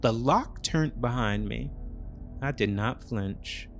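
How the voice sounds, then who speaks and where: A man narrating a scripted thriller story in short, deliberate, measured phrases. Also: mid-range voice, vocal fry, soft background music.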